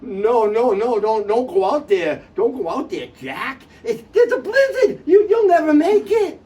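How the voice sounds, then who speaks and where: A storyteller voicing a stubborn older brother who protests loudly and stammers. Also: very high-pitched, big pitch swings, normal speed, distant mic, pitched above the performer's own voice, heavily acted. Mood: protesting.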